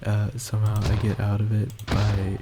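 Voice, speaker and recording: A young man, a college student, talking in a quiet, soft voice about himself, hesitant and halting with many restarts and fillers, into a boosted desktop mic. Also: lowish voice, monotone, heavy background noise. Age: young adult. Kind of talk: rambling personal monologue. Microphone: desktop microphone with boosted gain.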